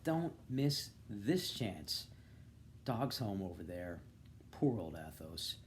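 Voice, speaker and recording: A man giving an expressive, animated reading of a novel, acting out the dialogue. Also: deep voice, slow pace, a little hiss or hum. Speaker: volunteer reader. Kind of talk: expressive literary audiobook-style reading.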